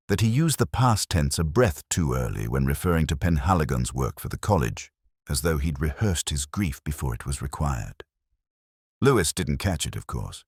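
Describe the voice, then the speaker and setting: Casting: a man as a brooding, bookish inspector in middle age narrating an audio mystery; rock-bottom bass, measured literary delivery, close dry sound. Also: husky, no echo, heavily acted. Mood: watchful, wry, then dry, suspicious. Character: brooding, erudite middle-aged male police inspector. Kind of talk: scripted first-person detective narration, audio drama.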